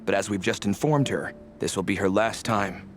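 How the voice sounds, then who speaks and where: A man's voice: fairly low pitch, normal speed, over music, lightly acted. An actor playing a practical, stern father in a video game, with a formal and firm way of talking.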